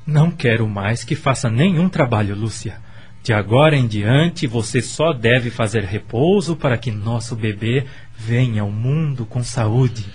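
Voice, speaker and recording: An actor with a Brazilian accent playing a kind, devout middle-aged husband, tender and measured, in a radio soap opera. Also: male voice, medium pitch, a little mellow, husky. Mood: caring. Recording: music under the voice.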